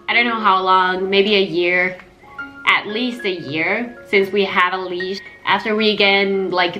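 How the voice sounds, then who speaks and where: A woman's voice: medium pitch, pushed and bright, rough recording. Finnish-accented young woman, music behind. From Helsinki, Finland. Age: 20s. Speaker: vlog host. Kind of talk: casual Q&A chat. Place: small home kitchen, indoors.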